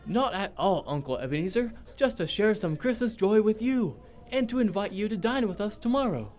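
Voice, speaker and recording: An actor in a radio play playing a cheerful, good-natured younger nephew with a jovial, friendly way of talking. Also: male voice, high-pitched, lively intonation, normal speed, a little mellow.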